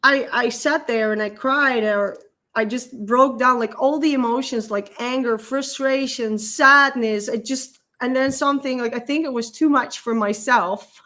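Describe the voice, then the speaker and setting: A woman in her thirties with a Dutch accent, a guest telling a personal story in a halting, emotional way, often repeating words. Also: fairly high pitch, fairly even intonation, pushed and bright. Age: 30s.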